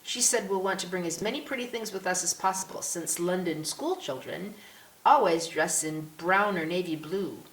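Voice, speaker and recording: A woman's voice: fairly low pitch, animated, normal speed, close to an everyday voice. A woman voicing a spirited, chatty little French girl in an audiobook, with a pert, precocious manner. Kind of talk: audiobook character voice. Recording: roomy echo with clear hiss.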